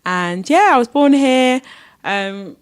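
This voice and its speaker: A woman's voice: sits high, expressive, slow, clear, resonant tone. A young woman from South London, a podcast guest chatting easily about her life. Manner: easygoing, many fillers, says "like" often. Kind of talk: casual interview chat.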